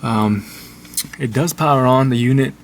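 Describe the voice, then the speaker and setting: A man giving a casual, unscripted how-to in an informal, halting, repetitive way, like a hobbyist vlogger. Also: medium pitch, slight background noise. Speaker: vlogger showing a DIY car install. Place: in and around a Jeep.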